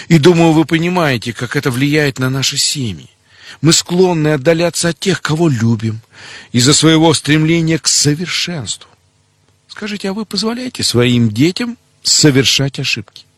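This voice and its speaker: Husky middle-aged male preacher. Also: fairly high pitch, no echo. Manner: didactic, poses rhetorical questions to listeners. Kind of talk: sermon on family life, scripted teaching.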